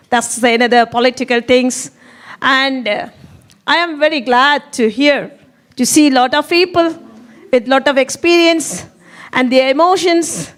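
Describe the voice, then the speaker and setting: Halting woman. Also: high-pitched, a bit bright. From Sri Lanka. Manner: halting, non-native phrasing. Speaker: event attendee. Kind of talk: speaking up at a community event. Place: indoor event hall.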